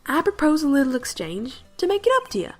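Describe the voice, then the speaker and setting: Casting: actress for folksy, brash game character, Southern US accent, high animated voice, colloquial and pushy. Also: female voice, fast, faint music behind. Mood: brash, bargaining. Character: brash, folksy Southern woman, wheeling and dealing. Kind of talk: visual novel game fan dub acting.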